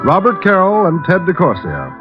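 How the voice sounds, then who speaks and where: A man's voice: sits high, wildly swooping pitch, moderate pace. A man announcing in the formal style of 1940s network radio. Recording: muffled old radio recording, music behind.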